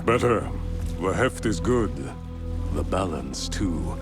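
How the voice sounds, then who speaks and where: A cold, slow-spoken warlord. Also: male voice, low voice, big pitch swings, full character voice. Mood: appraising. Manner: cold, measured, threatening. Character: cruel male warlord, middle-aged, menacing. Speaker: villain warlord. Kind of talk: video game cutscene acting. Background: game music score.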